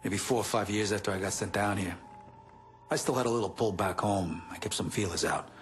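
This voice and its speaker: A man's voice: lowish voice, fairly quick, faint music behind, lightly acted. A man acting a weary, profane middle-aged gangster in a video game, talking bluntly and streetwise. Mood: bitter, grim. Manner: blunt, streetwise, heavy swearing.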